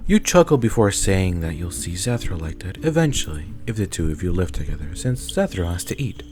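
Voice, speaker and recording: A man's voice: low-pitched, sing-song, fairly quick, darkish tone, soft background music. A man reading scripted second-person story narration for an audio roleplay.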